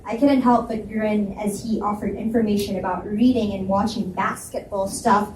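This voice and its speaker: A woman reads a story aloud in the first person, with a medium-pitched voice. She is some way from the mic in an echoey room, with faint noise. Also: steady tone, moderate pace, recorded from a distance. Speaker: reader narrating a story. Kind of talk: live first-person story narration with dialogue. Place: indoor reading event at a library.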